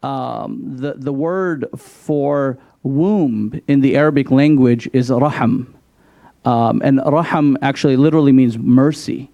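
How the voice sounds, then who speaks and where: Man, imam on a panel, mellow dark tone, dry slightly dull sound, measured and explanatory. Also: mid-range voice, vocal fry, dry recording. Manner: measured, explanatory, lightly self-deprecating. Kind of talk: public hearing talk.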